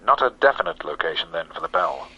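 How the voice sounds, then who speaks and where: A muffled recording of a ruthless male criminal in a radio play. His voice is husky and forceful, and he bargains in curt, sarcastic demands. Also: low-pitched, big pitch swings, fast talker, exaggerated voice. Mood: hard, demanding. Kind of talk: radio drama acting.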